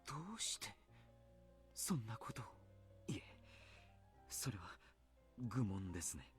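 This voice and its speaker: A calm adult male doctor, voiced by an actor, questions in a soft, formal and measured way. His pitch still moves a lot. Faint game music plays underneath. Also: close to an everyday voice. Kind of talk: Japanese game voice acting.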